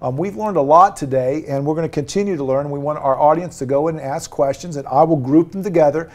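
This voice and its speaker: A man's voice: a little high, quick pace. A man who is a physician and talk show host, wrapping up an interview in a friendly, encouraging, chatty way. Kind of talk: casual interview wrap-up.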